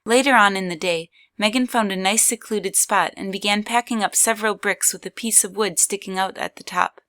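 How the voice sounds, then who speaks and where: A woman's voice: mid-range voice, brisk, sharp tone. A woman reading scripted third-person story narration as the narrator of an audio drama.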